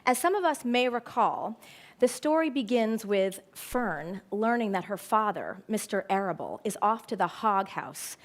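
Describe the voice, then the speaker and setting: A middle-aged woman speaking as an educator in a prepared talk, telling stories in an expressive, emphatic way. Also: mid-range voice, a little slow. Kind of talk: prepared public talk with storytelling.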